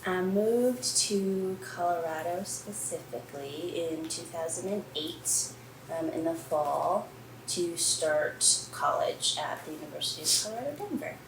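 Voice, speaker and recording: Woman, from Hawaii, deep voice, measured, echoey, distant. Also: animated, slight background noise. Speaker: interviewee. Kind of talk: casual interview answers.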